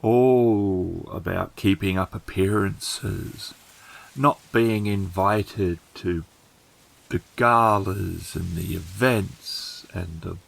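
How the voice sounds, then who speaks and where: A man playing the gentle, plain-spoken ghost of a samurai turned farmer in a tabletop game, speaking slowly and haltingly. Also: deep voice, gravelly, exaggerated voice, rough recording. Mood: weary.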